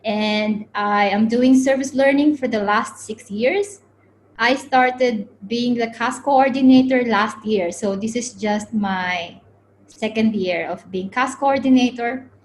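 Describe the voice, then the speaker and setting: A woman's voice: a little high, slow pace, a little hiss or hum, rough recording. A woman from the Philippines in her forties, leading an online workshop for teachers over a video call in an upbeat way, often saying "okay". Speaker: webinar presenter.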